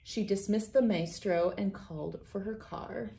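A woman's voice: medium pitch, unhurried, gravelly, mellow. A woman in her thirties reading a picture book aloud as a children's read-aloud host. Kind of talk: storybook read-aloud narration. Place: small wood-panelled room indoors.

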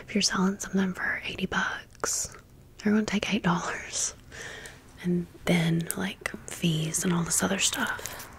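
A woman whispering in a breathy, unvoiced voice, chatting informally to viewers as friends in a casual ASMR storytime. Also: on the low side, rough recording. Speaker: ASMR video creator and host.

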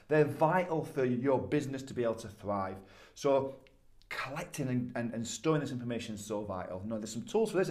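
A man's voice: medium pitch, a little mellow. An emphatic man in his thirties with a Yorkshire accent talks straight to camera in a casual, chatty way. He stresses key words and often doubles back on a word before carrying on. He is recorded in a small office at desk distance. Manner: emphatic, conversational, repeats words when restarting. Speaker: business coach. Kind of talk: casual talking-head advice.

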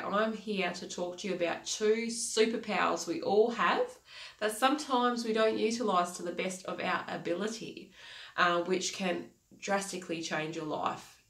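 A woman's voice: mid-range voice, a bit bright. Nasal woman coaching, echoey room. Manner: earnest, repeats key phrases, gestures with hands. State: congested, nasal from a cold. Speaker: life coach. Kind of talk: motivational talk to camera. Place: small home office, indoors.

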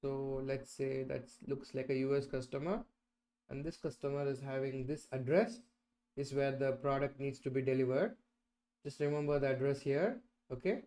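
A man's voice: medium pitch, steady tone, normal speed, warm tone. A middle-aged man presenting an explanatory screen-share tutorial, often checking in with "right?" and "okay". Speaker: instructor presenting a software tutorial. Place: indoors, at a computer.

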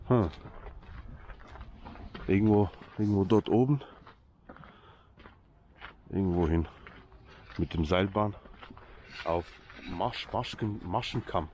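A deep, low-voiced man with a Swiss accent talks casually to his viewers, joking as he walks. He is outdoors by a lake, with clear outdoor noise behind him. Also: slow, pauses often, a little mellow. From Switzerland. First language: Swiss German. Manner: chatty, joking, addresses viewers directly. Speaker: vlogger. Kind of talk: casual vlog commentary to viewers.

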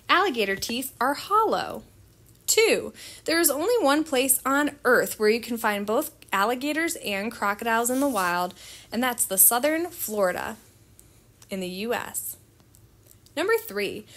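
A woman's voice: a little high, a bit bright. A woman reading a children's nonfiction book aloud in a teacherly manner.

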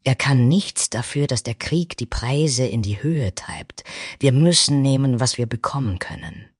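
A level-headed warrior woman from a storybook tale acted out by a man. The voice is lifted in pitch and closely recorded, soothing yet direct. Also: animated, a little slow, husky, no room sound, clean studio sound. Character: calm, sensible female warrior adventurer.

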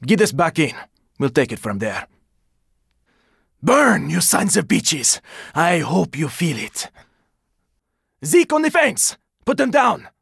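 A gravelly, intense zombie-apocalypse survivor. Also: male voice, fairly high pitch, wildly swooping pitch, slow pace, long pauses, no echo, clean studio sound, full character voice. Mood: grim, low, then urgent, alarmed. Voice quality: gravelly, raspy. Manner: terse, intense callouts, often strained or shouted. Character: gruff, battle-worn male zombie-apocalypse survivor, urgent and protective. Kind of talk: video game combat voice lines.

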